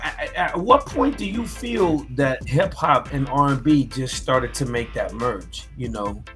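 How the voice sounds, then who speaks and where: A man co-hosting a casual music talk show, animated and loose, often restarting his sentences mid-thought. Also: mid-range voice, unhurried. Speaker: co-host. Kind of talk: casual chat.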